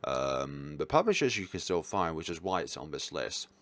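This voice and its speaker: A man's voice: a bass voice, big pitch swings, moderate pace, fairly bright tone, no echo. A man giving casual tier-list commentary as a video host, talking in an informal, rambling way and correcting himself as he goes. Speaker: video host reviewing games.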